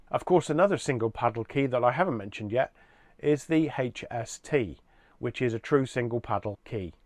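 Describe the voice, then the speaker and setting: A man's voice: mid-range voice, no echo. Dry, close-recorded man presenting products.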